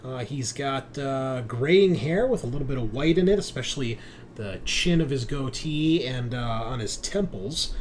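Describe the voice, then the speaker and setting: A man narrating a tabletop roleplaying game as game master, describing scenes in a halting, improvised way. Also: mid-range voice, animated. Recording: faint background noise.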